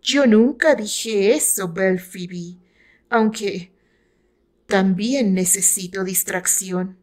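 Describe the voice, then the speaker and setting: A woman's voice: mid-range voice, extremely slow, a little mellow. Woman reading as a firm, commanding male lover. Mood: cool desire.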